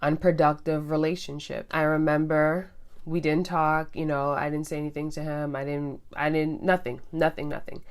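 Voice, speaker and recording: A young woman with a low voice tells her story in a candid, chatty vlog. She grew up in Guyana and Trinidad and keeps slipping into little quoted asides of what she thought back then. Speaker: vlogger.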